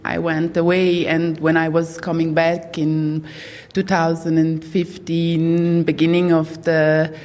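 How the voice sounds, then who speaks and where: Middle-aged woman from croatia, halting speech full of fillers, reverberant hotel hall. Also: sits low, monotone, darkish tone. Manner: hesitant, many fillers and restarts. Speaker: tourism brand manager. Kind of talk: live panel discussion.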